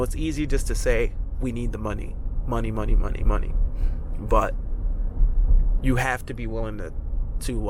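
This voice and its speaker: Male vlogger, congested, frank rambling chat outdoors on an action cam, mid-range pitch. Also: slight background noise. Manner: rambling, frank, many restarts. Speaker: vlogger, lawn care business owner. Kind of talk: casual vlog talk to camera. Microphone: action camera.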